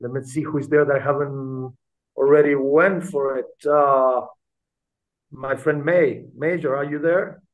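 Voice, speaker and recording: Man, slow halting delivery, phone-like sound. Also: medium pitch, fairly even intonation. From Argentina. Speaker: developer, meeting participant. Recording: very thin phone-like call audio.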